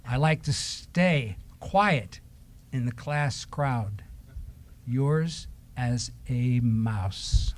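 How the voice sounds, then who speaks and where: Slow-paced man talking to children. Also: mid-range voice. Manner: asks simple questions and gently repeats answers. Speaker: leader of a children's story time in church. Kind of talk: talking to children and reading short letters aloud. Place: church service.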